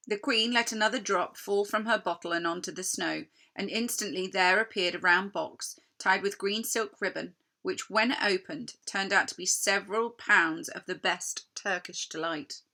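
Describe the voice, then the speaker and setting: A woman reads a children's story with an expressive, narrator's delivery. Her voice sits at a middle pitch and has a very bright, forward edge. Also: normal speed, creaky, few pauses. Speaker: storybook reader.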